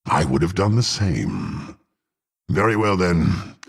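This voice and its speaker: A brutal half-orc warrior from a fantasy game, contemptuous and hungry for power. The gravelly voice moves slowly but swings widely, dripping with haughty menace. The phrasing is archaic and formal. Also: male voice, low voice, sing-song, exaggerated voice. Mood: brooding. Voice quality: gruff, gravelly. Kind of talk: dramatic fantasy game voice acting.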